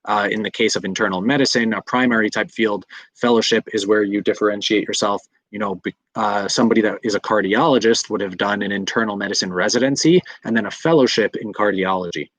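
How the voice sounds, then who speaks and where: A man's voice: lowish voice, fast, a bit bright, no echo. A man in his thirties, a doctor in training, answering viewer questions in a live session, explaining at length with frequent fillers and restarts. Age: 30s. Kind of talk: live Q&A talk.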